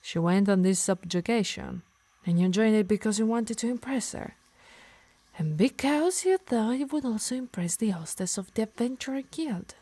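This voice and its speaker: A woman's voice: mid-range voice, animated, moderate pace, mellow, husky, no room sound. Doting, scolding harpy played by a woman, dry close sound. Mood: exasperated. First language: Italian. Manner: teasing, scolding, sighing. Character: possessive, doting, scolding female harpy healer. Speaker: ASMR roleplay performer. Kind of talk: ASMR roleplay acting.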